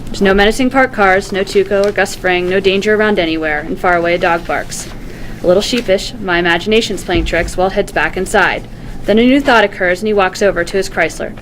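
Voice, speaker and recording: A woman reading stage directions at a script table read in an expressive storytelling manner. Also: mid-range voice, brisk, a little hiss or hum, poor audio.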